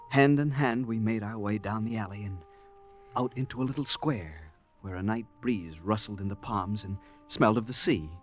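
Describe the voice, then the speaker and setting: A man's voice: lowish voice, muffled recording, close to an everyday voice. An actor in a 1940s radio drama playing a polite, timid male traveller swept into heroism, earnest and hesitant in delivery. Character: timid, polite male traveller turned infatuated accidental hero. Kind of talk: 1940s radio acting and first-person narration. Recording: restored 1940s radio, faint noise, music.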